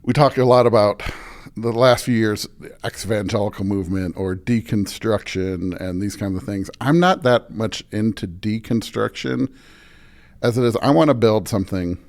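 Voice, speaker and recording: Guy with a lowish voice, pastor type, thoughtful podcast chat, earnest, repeats phrases to make his point. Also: moderate pace, darkish tone. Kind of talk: thoughtful conversational interview.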